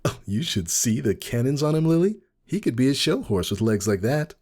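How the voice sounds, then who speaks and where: A man putting on a raised-pitch, flirty gossiping mare. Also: sing-song, moderate pace, a little mellow, breathy edge. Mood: teasing. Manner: suggestive, teasing. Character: flirty, gossiping female pony friend, teasing. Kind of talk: dramatic story reading.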